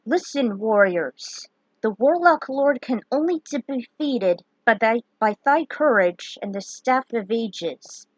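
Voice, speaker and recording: A woman's voice: fairly high pitch, animated, slow, dry recording, lo-fi sound. A woman voicing a solemn old wizard who counsels warriors in archaic speech in a fantasy story.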